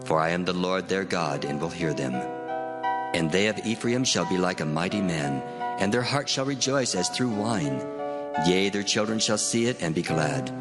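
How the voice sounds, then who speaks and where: An actor playing the solemn voice of God, declaiming promises in archaic language for a dramatized scripture reading. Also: male voice, low-pitched, lively intonation, moderate pace, loud music behind the voice. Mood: solemn, assuring.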